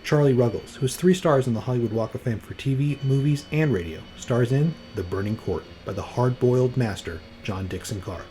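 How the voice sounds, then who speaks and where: A man's voice: mid-range voice, a little fast, few pauses, warm tone, a little hiss or hum, poor audio. A man hosting a podcast with scripted, playful narration in a wry, tongue-in-cheek manner. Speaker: podcast host. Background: music.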